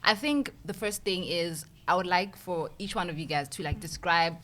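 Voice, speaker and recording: A woman's voice: fairly low pitch, moderate pace, a bit bright. A young woman from Botswana hosting a casual panel chat, talking loosely with lots of fillers and easy laughter. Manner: chatty, filler-heavy, laughs easily. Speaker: host.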